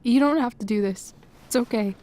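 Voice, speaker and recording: A woman's voice: on the high side, normal speed, noisy background, close to an everyday voice. An actress playing a contrite teenage schoolgirl, restrained and deferential, in a video game drama. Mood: subdued. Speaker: voice actor.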